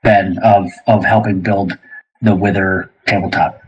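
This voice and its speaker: A man's voice: sits low, level tone, unhurried, breathy edge, highs cut off. A man hosting a casual interview, putting questions in an informal, conversational way. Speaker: podcast host, interviewer.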